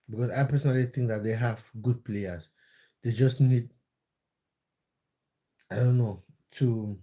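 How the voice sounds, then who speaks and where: A man's voice: medium pitch, mellow. Cameroon-born man chatting on a livestream. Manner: reads out viewer comments and answers them, with many fillers and repeats. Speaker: livestream host answering chat. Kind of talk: casual livestream chat about football.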